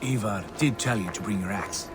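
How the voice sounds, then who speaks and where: An actor playing a confident, wry Viking leader in a video game, calm and authoritative in manner. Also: male voice, fairly low pitch, moderate pace, music in the background, natural voice. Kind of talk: video game cutscene acting.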